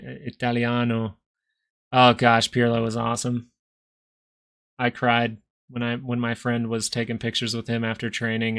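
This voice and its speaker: A man's voice: medium pitch, pushed and bright, smooth. A man in his thirties hosting a live stock market stream, chatting casually between chart analysis and answers to viewer questions. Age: 30s. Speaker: stock market livestream host.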